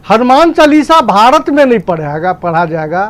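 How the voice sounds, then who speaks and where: A man with a very high-pitched voice that swings widely as he argues. He is a political debate panelist with a Bihari accent, defensive and given to repeating his protests. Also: very animated, normal speed. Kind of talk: heated TV debate argument.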